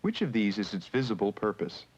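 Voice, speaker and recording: A man narrating an educational film in a measured, instructional manner, with questions put to the viewer. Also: on the low side, very animated, darkish tone, slightly rough. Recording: muffled 1970s educational film soundtrack with music.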